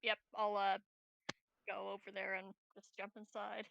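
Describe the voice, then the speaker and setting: Female tabletop player, leisurely informal chat, gravelly creak, slightly dull sound. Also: medium pitch, completely flat delivery, normal speed, noisy background. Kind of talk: casual, giggly game chat.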